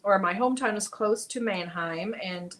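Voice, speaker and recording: A woman's voice: medium pitch, moderate pace, a bit bright. Chatty woman. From Germany. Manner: chatty, reads viewer comments aloud, mutters while counting stitches. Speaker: crochet livestream host. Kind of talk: casual livestream chat while crocheting.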